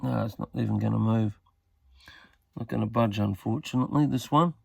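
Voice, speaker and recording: Australian male, casual how-to presenter, creaky voice, halting matter-of-fact delivery, close dry sound. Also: lowish voice, no room sound. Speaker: radio repair hobbyist presenter. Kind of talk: casual hands-on tutorial commentary.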